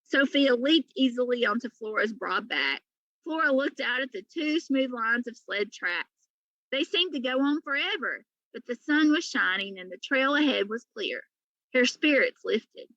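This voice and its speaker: Lady reading a story out loud to kids, highish bright voice, bit lo-fi. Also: animated, unhurried, dry recording. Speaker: teacher reading aloud to pupils. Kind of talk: storybook read-aloud narration.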